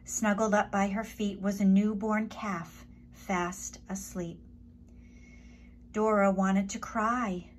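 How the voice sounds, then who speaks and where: Slow, expressive woman reading a children's story. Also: medium pitch, slight background noise. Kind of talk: children's story read-aloud.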